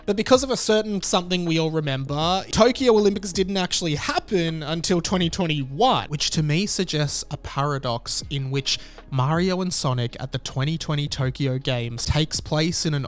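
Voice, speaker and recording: A man's voice: fairly high pitch, moderate pace, faint music behind. A man hosting a video, giving informal, opinionated commentary straight to his viewers. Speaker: video host.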